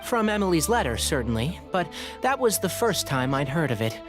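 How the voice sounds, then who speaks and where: A man voicing a calm young ranger and scholar in a video game, measured and reassuring. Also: on the high side. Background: game music.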